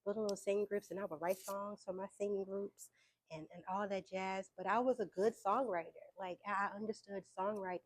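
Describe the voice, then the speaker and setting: A young adult woman, telling her story as an interview guest in a casual, relaxed way with fillers and easy laughs. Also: mid-range voice, brisk. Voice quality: soft voice. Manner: relaxed, frequent fillers, laughs between points.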